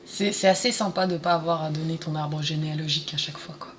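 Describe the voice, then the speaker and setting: Hesitant young woman, low voice. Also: steady tone, fast, slight background noise. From France, Paris suburbs. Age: 20s. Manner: hesitant, many fillers and restarts. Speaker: interview guest. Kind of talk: casual conversational interview.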